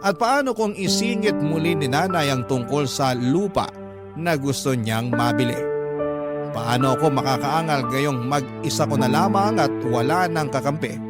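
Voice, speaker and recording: A man's voice: a little high, expressive, moderate pace. A man narrating a Filipino radio drama as a scripted, first-person dramatic story. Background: prominent background music.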